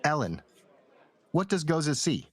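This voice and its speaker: A man's voice: on the high side, sing-song, slow. A man acting as game master, narrating a tabletop roleplaying game and addressing the players.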